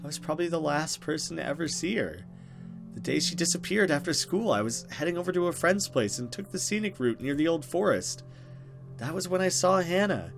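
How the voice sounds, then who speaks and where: Man doing an anxious, defensive schoolgirl, raised pitch. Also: animated, a little fast, creaky, soft background music. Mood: guilty, hesitant. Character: defensive, guilt-ridden schoolgirl Anita, anxious and pleading.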